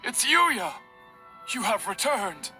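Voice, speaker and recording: Animated, high-pitched, forceful swordsmith. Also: male voice, slow, over music, full character voice. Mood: delighted. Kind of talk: video game character dialogue.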